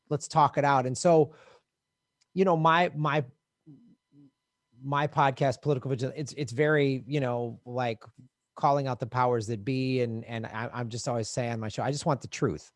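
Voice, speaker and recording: Animated Midwestern man, dry sound. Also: mid-range voice, no echo. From American Midwest. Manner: animated storyteller, laughs often, acts out voices. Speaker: podcast co-host, touring comedian. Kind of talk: casual chat.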